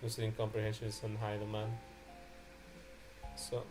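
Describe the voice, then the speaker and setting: A man's voice: lowish voice, completely flat delivery, normal speed. A man hosting a live reaction stream, chatting casually and animatedly with friends. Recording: music playing behind the voice. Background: background music.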